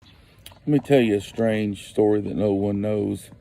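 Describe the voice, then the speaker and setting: Man, gravelly and dark, very slow, faint noise behind. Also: fairly low pitch, steady tone. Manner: slow and deliberate, repeats for emphasis. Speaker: local neighbor telling a story. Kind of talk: storytelling on a podcast clip.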